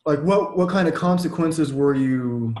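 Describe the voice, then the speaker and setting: Relaxed podcast host in an echoey room. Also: male voice, a little high, measured pace. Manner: relaxed, filler-heavy, trailing off quietly. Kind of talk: casual interview chat.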